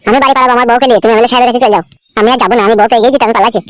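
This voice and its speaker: A woman voicing a frantic young village husband in a comic cartoon, whiny and coarse, with a pitched-up voice. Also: fairly even intonation, racing, no echo. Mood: nervous pleading. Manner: pleading, coarse village talk.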